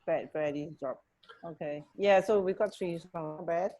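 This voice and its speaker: A woman's voice: on the low side, normal speed, warm tone. A middle-aged woman co-hosting a radio show in casual chat over a video call. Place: indoor room, video call.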